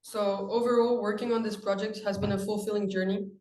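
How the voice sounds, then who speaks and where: A teenage boy from France reading a prepared student presentation, halting and restarting on longer words. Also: sits high, level tone, darkish tone. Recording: echoey room with faint noise.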